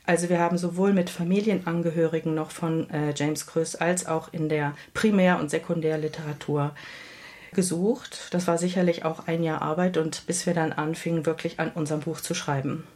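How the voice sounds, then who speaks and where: A woman author answering interview questions at a measured pace, often pausing to search for words. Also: low voice, a little mellow. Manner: measured, with frequent hesitations. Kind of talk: thoughtful radio interview answers.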